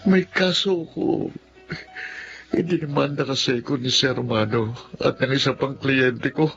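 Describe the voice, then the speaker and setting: An actor with a Filipino accent playing a heavy-hearted, remorseful middle-aged widower in a radio drama. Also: male voice, mid-range voice, unhurried, creaky, lo-fi sound, over music. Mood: anguished regret.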